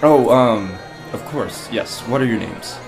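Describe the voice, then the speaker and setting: A man playing an unsure, eager-to-please town guard in a fantasy audio drama, speaking haltingly and deferentially. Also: medium pitch, gravelly, music in the background. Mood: flustered.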